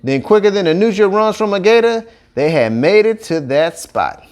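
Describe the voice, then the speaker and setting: A man's voice: high-pitched, moderate pace, clean tone. A man with a Cajun accent narrating a children's story in a folksy, colloquial way. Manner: colloquial, animated dialect delivery. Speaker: audiobook narrator.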